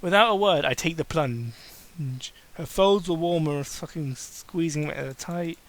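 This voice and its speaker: Male amateur reader, bright edgy tone, fairly high voice; sardonic, fed up, swears freely between lines. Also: unhurried. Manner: exasperated, sarcastic, swears freely. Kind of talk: mocking dramatic reading with exasperated asides.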